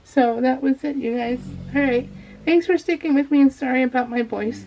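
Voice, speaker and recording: Bright-voiced, higher-pitched woman chatting casually. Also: moderate pace. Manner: rambling, gushing, self-interrupting. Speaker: craft video host. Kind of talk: casual chatty craft vlog, unscripted. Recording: dull lo-fi home recording, faint noise.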